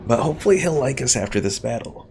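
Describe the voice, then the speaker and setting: A man's voice: medium pitch, animated, fast, gravelly. A man giving casual live gameplay commentary and chatting with viewers, thinking aloud as he goes. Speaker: game streamer playing a strategy game. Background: game music.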